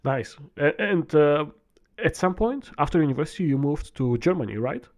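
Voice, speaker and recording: A man's voice: a little high, animated, normal speed. A man hosting a podcast, asking casual interview questions with some stumbles and restarts. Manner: friendly, hesitant phrasing with restarts. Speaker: podcast host.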